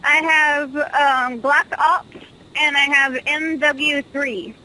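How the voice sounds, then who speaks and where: A woman's voice: high-pitched, fairly even intonation, slow, pushed and bright. A woman working as a store employee, helpfully and tentatively answering a customer on the phone. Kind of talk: casual customer-service phone talk. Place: store, on a telephone. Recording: noisy phone line call.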